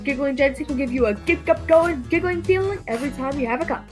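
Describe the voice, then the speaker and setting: A perky commercial pitchwoman acted by a woman sells in old-time radio style. Her voice is fairly high, and music plays clearly behind it. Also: fast talker, natural voice. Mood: perky, selling.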